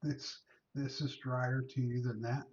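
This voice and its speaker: A man jokes with his co-host and reads out comments from the chat. His voice sits at an ordinary pitch. It sounds set back from a lo-fi microphone hanging above the table. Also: level tone, measured pace, a little mellow. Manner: teasing, joking. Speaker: co-host of a whiskey tasting livestream. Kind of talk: casual banter with chat and co-host. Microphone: overhead microphone above the table. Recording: distant, dull overhead mic.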